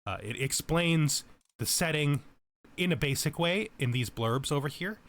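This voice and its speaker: A man's voice: a little high, lively intonation, a little slow, fairly bright tone. A man giving explanatory let's play commentary as a tutorial host, methodical and thorough in how he explains things. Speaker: game tutorial host, player.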